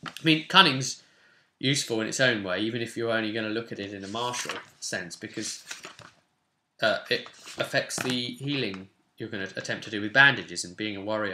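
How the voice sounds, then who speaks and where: Young guy hosting a tabletop rpg how-to, bright forceful voice, chatty, at his desk at home. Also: on the low side, a little hiss or hum. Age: 20s. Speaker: tabletop roleplay channel host. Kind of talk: casual instructional commentary, partly reading rules aloud. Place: small home room, indoors.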